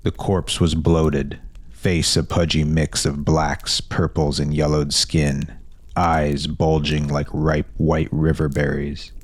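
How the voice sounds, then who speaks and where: A man reads scripted fantasy narration in an exceptionally deep voice. The delivery is measured, descriptive and dramatic. The recording is dry. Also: no echo.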